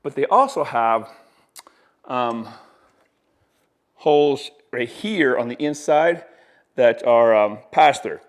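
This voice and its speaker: A man's voice: mid-range voice, animated, slow pace, long pauses. A man with a mid-range voice walks viewers through a product in a casual demonstration. He talks like an installer who is keen on his gear, with enthusiastic, folksy patter and colloquial turns of phrase. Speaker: audio installer presenting products.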